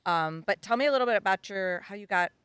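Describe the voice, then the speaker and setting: Middle-aged woman hosting a podcast, peppy and enthusiastic, bright crisp voice. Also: mid-range voice, fast talker, no echo. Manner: upbeat, enthusiastic, lots of "yeah". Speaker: podcast host. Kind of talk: podcast interview. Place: outdoors in a backyard.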